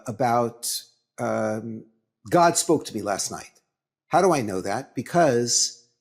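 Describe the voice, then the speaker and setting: A man giving a religious lecture as a rabbi, with halting, deliberate phrasing and emphatic pauses. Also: mid-range voice, a little mellow.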